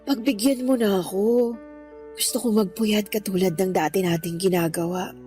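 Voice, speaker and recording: A woman's voice: medium pitch, fairly even intonation, moderate pace, dark tone, soft background music, close to an everyday voice. A woman acting in a Filipino radio drama as a sick woman who jokes wryly with her best friend, with a Filipino accent. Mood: weary, pleading. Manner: teasing, wry.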